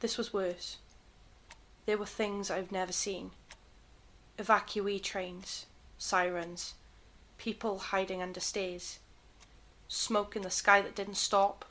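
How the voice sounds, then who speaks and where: A woman's voice: medium pitch, level tone, takes it slowly, fairly bright tone. A woman playing a shaken, defiant survivor of an ordeal in an audio drama, intense and earnest. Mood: haunted.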